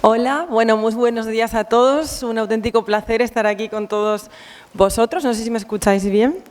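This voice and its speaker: A woman with a Spain Spanish accent addresses a conference in a friendly, chatty way, dotting her talk with fillers and restarts. Her voice is smooth and resonant, and long reverb trails behind it from the hall. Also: a little high, fairly even intonation, fairly quick, darkish tone. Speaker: invited consultant speaker.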